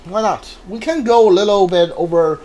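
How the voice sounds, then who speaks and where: A man's voice: high voice, normal speed, warm tone. A man giving casual, rambling live game commentary, hesitant and thinking aloud. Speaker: streamer playing a game blind. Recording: clearly noisy background.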